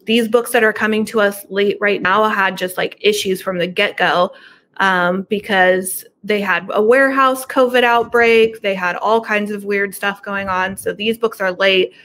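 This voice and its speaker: A woman's voice: mid-range voice, steady tone, moderate pace, fairly bright tone, a little hiss or hum. A middle-aged woman talking casually as an interview guest over a video call from a room at home. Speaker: podcast guest, horror book subscription business owner.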